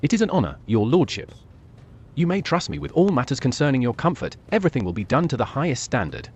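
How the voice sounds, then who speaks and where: A man's voice: mid-range voice, very animated, fast talker, a little mellow, husky, highs cut off. A man voicing a servile, oily ship's quartermaster in formal scripted game dialogue. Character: heavy-set, obsequious male quartermaster, oily and servile. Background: music.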